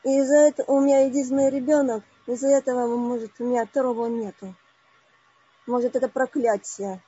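A woman in her forties calling in to a live online session, telling a personal story haltingly with many broken-off words. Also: a little high, steady tone, dark tone, husky, dull audio. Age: 40s. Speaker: caller.

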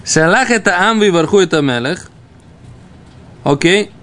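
A man teaching an informal Talmud lesson, reading the text and explaining it, haltingly and with repeated phrases. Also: high-pitched, animated, a bit bright, a little hiss or hum.

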